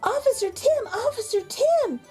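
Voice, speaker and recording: Woman as an excitable high-voiced young girl. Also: unhurried, faint music behind. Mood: excited. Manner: loud, calling out.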